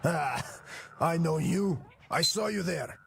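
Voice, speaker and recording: A man playing a wary but helpful wasteland scout in a video game, gravelly and matter-of-fact. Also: a little high, animated, slow pace, slight background noise, full character voice. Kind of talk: video game character acting.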